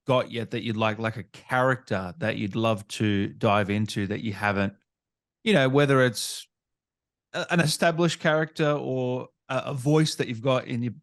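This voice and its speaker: A man interviewing a guest in a casual, conversational style as the host. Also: medium pitch.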